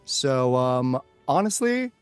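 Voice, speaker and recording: A man's voice: medium pitch, very animated, takes it slowly, smooth, no room sound. Music plays behind a man with a mid-range voice. He is an enthusiastic actor giving a casual interview, effusive as he tells his anecdotes. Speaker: guest, voice and screen actor.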